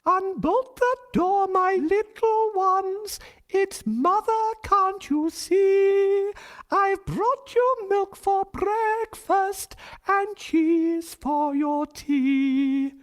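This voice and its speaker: A man's voice: very high voice, very slow, voice pushed up, full character voice. A man voicing a sly wolf who imitates a sweet mother goat in a sugary sing-song. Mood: falsely sweet.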